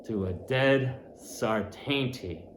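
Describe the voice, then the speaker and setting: A man's voice: mid-range voice, slow, background noise, close to an everyday voice. A man doing a sly folksy innkeeper. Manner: folksy old-timey sailor-town dialect. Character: crafty male innkeeper, sly and teasing. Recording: roomy echo.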